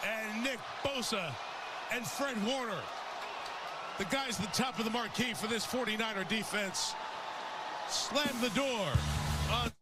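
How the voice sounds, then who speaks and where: A man's voice: high-pitched, unhurried, pauses often, over loud music. A man with an American accent calling football play-by-play on a broadcast, mixing calls with storytelling. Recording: game broadcast audio under loud noise.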